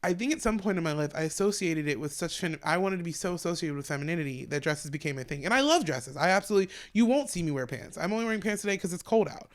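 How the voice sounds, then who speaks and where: A woman with a deep voice, a stand-up comedian talking frankly as a podcast guest in casual conversation. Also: animated. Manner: frank, talks fast in long run-on explanations.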